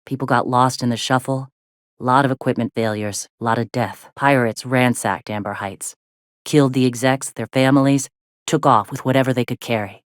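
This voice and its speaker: An actress playing a weary, plain-spoken rebel lieutenant turned leader in a video game, with a blunt, folksy, colloquial manner. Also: female voice, a bass voice, fairly even intonation, normal speed, no echo, clean studio sound. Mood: serious, explanatory. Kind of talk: scripted game dialogue.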